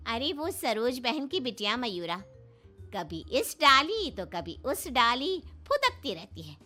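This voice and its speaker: A woman playing a sharp-tongued, confrontational middle-aged housewife in a story. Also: high voice, big pitch swings, takes it slowly, soft background music, heavily acted. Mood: gleeful.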